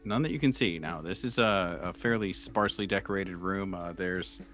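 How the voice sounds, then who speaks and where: A man running a horror tabletop game as game master, improvising his descriptions haltingly and searching for words. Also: deep voice, a bit bright. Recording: dull, muffled sound with music behind. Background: background music.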